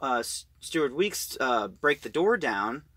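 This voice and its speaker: A man's voice: mid-range voice, big pitch swings, takes it slowly, a bit bright, no room sound. A man giving a casual recap of a story as an audio drama reviewer.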